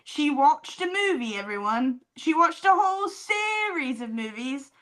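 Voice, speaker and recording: A woman's voice: high-pitched, expressive, unhurried, a bit bright. A woman podcast co-host chatting casually and telling comic stories with teasing, dry sarcasm. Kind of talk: casual comedic chat and storytelling.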